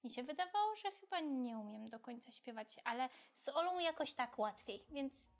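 A woman's voice: sits high, expressive, normal speed. A woman voicing a friendly, childlike puppet who chats simply to children on a kids' show. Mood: friendly, encouraging. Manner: simple, chatty, addressing children directly.

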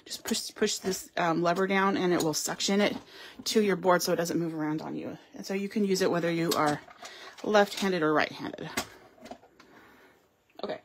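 A woman's voice: on the low side, steady tone, normal speed, long pauses, slight background noise. A woman giving a live card-making demonstration, chatting and explaining as she works, with frequent ums. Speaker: craft store demonstrator. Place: craft table at home or in a studio.

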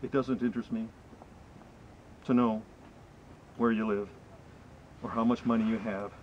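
A middle-aged man reciting poetry to a small group outdoors, with earnest, deliberate and emphatic phrasing. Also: lowish voice, flat delivery. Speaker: poem reader, presenter. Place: outdoors in a wooded area. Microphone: camera mic a few meters away. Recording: slightly muffled outdoor camera audio, faint ambient noise.

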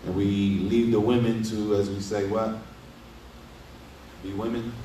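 A man's voice: fairly low pitch, flat delivery, unhurried, warm tone, a little hiss or hum. A middle-aged man giving a casual Islamic lecture, asking rhetorical questions and often adding "right?". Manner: conversational, asks rhetorical questions with frequent "right?". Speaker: imam giving a lesson. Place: small indoor study room. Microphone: desk mic on a stand. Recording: echoey room, mic at a distance.

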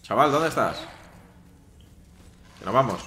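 Man, gameplay commentary, lively intonation, roomy sound. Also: mid-range voice, a little slow. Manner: chatty, talks to viewers. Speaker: gameplay streamer. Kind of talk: casual let's-play commentary. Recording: roomy with faint noise.

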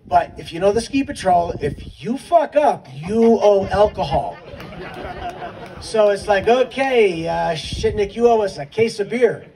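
A man's voice: sits high, lively intonation, a little slow, mellow, some chatter behind. A middle-aged man telling a casual, wry story with swearing as a memorial speaker on an outdoor stage at night. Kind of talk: anecdotal storytelling speech. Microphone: handheld mic, filmed from the audience. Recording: distant phone capture with background noise.

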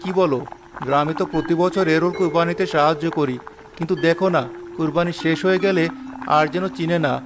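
A man voicing a poor, gentle, downcast village father in a cartoon, weary and plaintive. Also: a little high, steady tone, brisk, noisy background, close to an everyday voice. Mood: resigned, hopeful. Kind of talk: cartoon dialogue dub. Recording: music under the voice.

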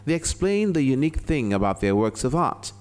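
A man's voice: mid-range voice, very animated, fairly quick, a little mellow, a little hiss or hum. A man reading a scripted news feature narration as a reporter.

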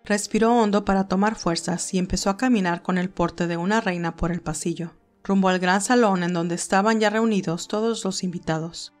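A woman's voice: medium pitch, fairly even intonation, fairly quick, hardly any pauses, soft background music. A woman reading scripted narration of a romantic story.

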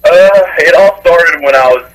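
A young man with a deep baritone voice, rambling folksy chat as a country singer guest on a radio interview. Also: moderate pace, fairly bright tone, rough recording. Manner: rambling, folksy, with many fillers and restarts.

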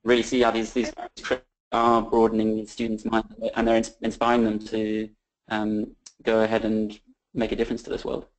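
Low, flat-voiced earnest man. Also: low-quality recording. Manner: earnest, halting, many fillers and restarts. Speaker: tour manager. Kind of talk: webinar talk about travel.

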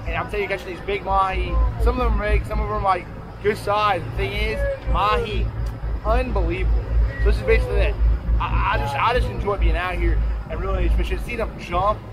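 A man's voice: sits high, fairly even intonation, a little fast, poor audio. A man in his thirties on a boat deck, talking casually and folksily to a close camera about a fishing trip. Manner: laid-back, rambling, folksy. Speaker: fishing vlogger. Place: open deck of a boat at sea, outdoors. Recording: wind and engine noise outdoors.

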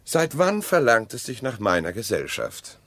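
An actor playing a needling, evasive husband in a radio play, with a dry, measured, goading manner. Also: male voice, medium pitch, huge pitch swings, fairly bright tone, dry recording, heavily acted.

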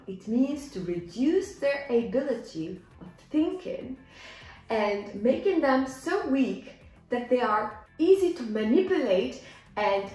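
Echoey young lady teaching english, kinda high voice, really careful and demonstrative, music behind her. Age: young adult. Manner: deliberate, demonstrative enunciation for learners. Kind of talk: story reading with simple explanations for learners.

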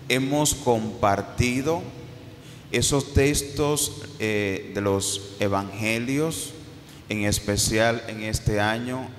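Slow-preaching priest in a reverberant church. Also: male voice, mid-range voice. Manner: slow, rising and falling preaching cadence with repeated phrases. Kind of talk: sermon. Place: church, at a pulpit. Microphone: handheld mic on a stand. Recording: reverberant church with faint background noise.

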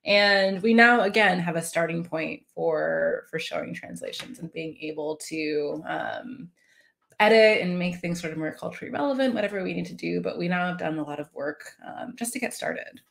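A woman in her thirties presenting a software demo in a conversational way on a video call. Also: fairly low pitch, moderate pace, vocal fry. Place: small indoor room on a video call.

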